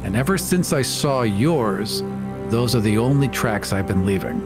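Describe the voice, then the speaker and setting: A man's voice: medium pitch, expressive, a little slow. A man playing a tabletop role-playing game, speaking as a player about his character. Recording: music bed under the voice. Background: background music.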